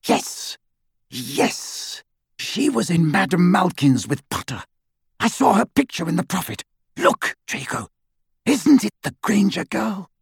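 A cold, clear-voiced aristocratic lady, as a man puts her on for a storybook. She speaks high and clipped, with wide swings of pitch. The voice sits close and dry, with a touch of husk. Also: very animated, unhurried, no room sound, heavily acted. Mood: urgent.

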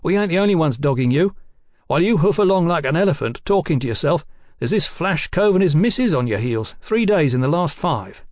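Sly, high-pitched rascal played by a man. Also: expressive, a little fast, darkish tone, dry recording, studio quality, close to an everyday voice. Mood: cheeky. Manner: slangy, insolent, needling. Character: sly, cheeky Cockney private detective, a vicious rascal.

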